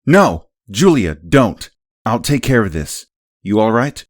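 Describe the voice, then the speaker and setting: A man's voice: fairly low pitch, big pitch swings, takes it slowly, full character voice. A man playing a weary but protective government agent in an amateur comic dub. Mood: urgent, reassuring. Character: weary, grumbling male government agent, protective when alarmed.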